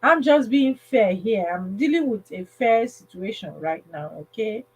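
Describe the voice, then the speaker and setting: A woman's voice: on the high side, expressive, unhurried, husky, lo-fi sound. A woman hosting a casual livestream advice talk, speaking emphatically and repeating phrases for stress. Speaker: livestream host.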